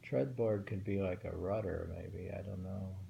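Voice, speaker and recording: A man's voice: sits low, moderate pace, creaky, mellow, muffled recording, slight background noise. A middle-aged man reading translated poems aloud and musing about them in a casual, thinking-aloud way, often rereading lines. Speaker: poetry reader.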